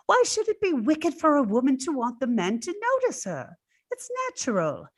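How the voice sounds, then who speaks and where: Lively, coaxing actress as a scheming maid. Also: female voice, high voice, moderate pace, breathy edge, exaggerated voice. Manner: coaxing, conspiratorial, emphatic. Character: scheming, cosy, outspoken female household servant. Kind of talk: old-time radio drama acting.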